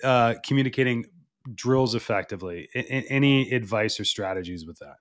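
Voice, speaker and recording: Guy hosting an interview, mid-pitch voice, rambly questions, lots of restarts. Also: animated, fairly quick. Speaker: interviewer, podcast host.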